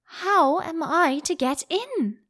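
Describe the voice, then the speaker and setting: A woman's voice: extremely high pitch, animated, takes it slowly, fairly bright tone, pitched above the performer's own voice, exaggerated voice. A woman voicing a curious, timid young storybook girl who is earnest, plaintive and easily exasperated. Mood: pleading.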